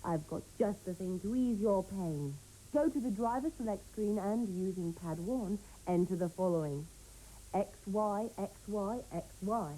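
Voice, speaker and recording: A woman presenting game cheats with a brisk, haughty manner. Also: mid-range voice, warm tone, a little hiss or hum, low-quality recording. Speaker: games tips presenter. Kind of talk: comic presenting.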